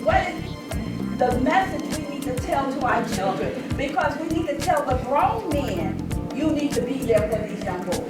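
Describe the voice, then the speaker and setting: A woman's voice: high voice, very animated, moderate pace. A woman moderating a panel debate, insistent and probing, pressing her point and putting questions. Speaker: discussion moderator. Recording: echoey, distant mic, loud music.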